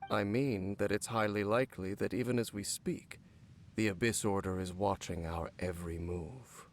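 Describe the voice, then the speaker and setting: Low, solemn cursed knight played by a man. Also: fairly even intonation, a little hiss or hum, close to an everyday voice. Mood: grave, guarded. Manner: formal, measured, solemn speech. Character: brooding, cursed centuries-old former royal guard captain, grave and guarded. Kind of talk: video game character dialogue. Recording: game audio with background music. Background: game music.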